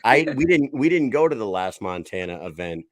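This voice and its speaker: A man's voice: fairly low pitch, sing-song, a little fast, no echo. A man hosting a casual fight-talk show, chatty and rambling, often restarting his sentences. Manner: chatty, rambling, self-correcting. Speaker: host.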